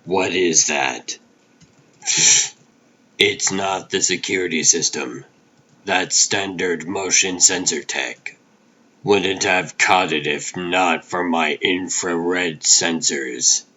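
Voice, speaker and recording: Guy doing a brooding comic book vigilante detective, real low and slow, grim noir narration. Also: bright, forceful tone, muffled recording, exaggerated voice. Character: aging vigilante hero, brooding hard-boiled detective narrator. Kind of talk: scripted comic dub, noir inner monologue.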